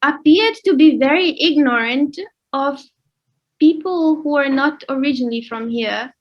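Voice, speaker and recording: A young adult woman from Namibia, a guest in a casual interview who explains things with pauses. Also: sits high, muffled recording. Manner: relaxed, explains with pauses and laughs.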